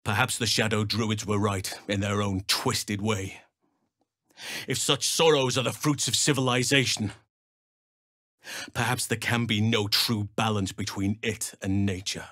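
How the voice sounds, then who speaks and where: An actor playing a big, earnest druid healer in a video game, solemn and gentle, with measured, formal, old-fashioned phrasing. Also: male voice, fairly low pitch, fairly even intonation, no echo, studio quality. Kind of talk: video game character voice acting.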